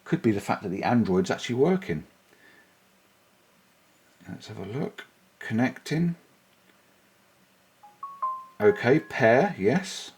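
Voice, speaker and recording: A man's voice: mid-range voice, sing-song, slow pace, pauses often, darkish tone, a little hiss or hum. A man casually demoing and testing gear for his vlog, thinking aloud as he goes. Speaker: vlogger reviewing gear. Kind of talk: casual product demo. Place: outdoors on a bike, then indoors.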